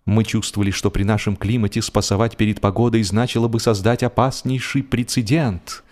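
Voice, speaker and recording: A man narrating a humorous novel expressively, with a theatrical, deliberate delivery that draws out phrases for drama. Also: on the low side, steady tone, darkish tone. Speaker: audiobook narrator.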